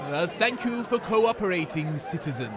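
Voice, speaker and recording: A man's voice: high-pitched, expressive, normal speed. A man playing a cheeky, boozy radio sidekick in a comedy, brash, bluffing and mocking. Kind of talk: comedy sketch acting. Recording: outdoor crowd noise.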